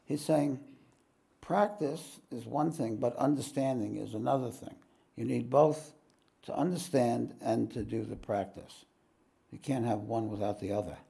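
A spiritual teacher with a croaky, hoarse voice giving a live satsang talk, speaking unhurriedly and plainly, often clearing his throat. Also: mid-range voice, unhurried, mellow.

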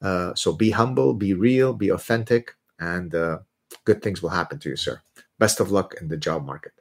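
Blunt, animated Lebanese-born man. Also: low-pitched, normal speed, creaky. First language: Arabic. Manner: animated, blunt, emphatic, mocking voices when ranting. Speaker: livestream host answering viewer questions. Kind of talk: casual livestream talk.

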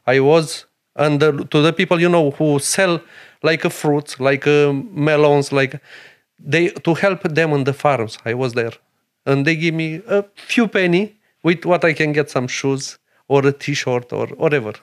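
A middle-aged man from Romania with a Romanian accent, a chef and restaurant owner speaking earnest, halting English in a podcast interview. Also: on the high side. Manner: broken English, earnest and emphatic, many pauses.

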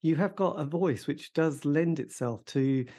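A man's voice: a little high, unhurried, dark tone. A man interviewing a musician, asking long rambling questions that end in tags. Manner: rambling, leading questions with tag endings.

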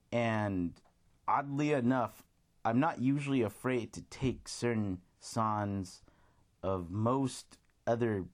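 A man hosting his own music radio show, talking casually and rambling as he thinks aloud, with restarts and shout-outs to his listeners. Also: on the low side. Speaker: podcast host.

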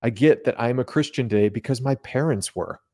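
A man's voice: mid-range voice, animated, a little fast, dark tone. A man talking casually and explaining ideas as a podcast guest, colloquial and given to voicing imagined remarks. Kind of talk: casual theological conversation.

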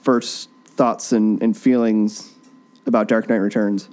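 A man's voice: fairly low pitch, little pitch movement, slow, darkish tone. Joking man in casual podcast chat. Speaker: podcast host. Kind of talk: casual chat about comic books. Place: basement.

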